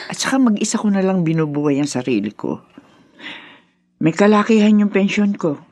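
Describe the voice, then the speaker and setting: A woman's voice: medium pitch, sing-song, normal speed, darkish tone, no echo. An actress playing a generous, insistent older mother and grandmother with a Filipino accent in a radio drama. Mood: caring, firm.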